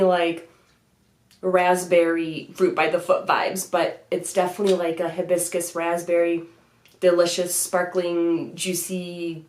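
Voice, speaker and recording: A woman's voice: medium pitch, reverberant. Chatty young woman with a stuffy cold. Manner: chatty, upbeat, many "like" fillers. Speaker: beauty vlogger. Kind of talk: casual product review. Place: bedroom vanity room, indoors.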